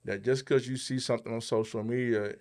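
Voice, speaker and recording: A man's voice: mid-range voice, fairly even intonation, fairly quick, darkish tone. Man hosting a motivational podcast segment. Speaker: podcast host, motivational speaker.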